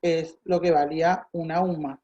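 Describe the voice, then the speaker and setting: A woman tutors chemistry, walking methodically through each calculation and thinking aloud as she goes. Her voice is low and mellow. The recording sounds dull and lo-fi. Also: fairly even intonation, normal speed. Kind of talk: tutoring lesson, explaining calculations step by step.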